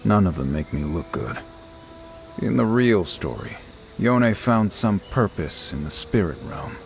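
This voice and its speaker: A man's voice: fairly low pitch, sing-song, a little slow, vocal fry, heavily acted. An actor playing a guilt-ridden swordsman on the run in a video game, speaking in a terse, grave and weary way. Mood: regretful. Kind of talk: dramatic video game acting. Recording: game music behind the voice. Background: game music.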